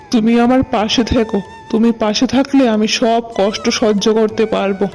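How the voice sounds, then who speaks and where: A woman's voice: a little high, darkish tone, lightly acted. A woman voicing a humble village vegetable seller, an earnest and plaintive married man in a cartoon.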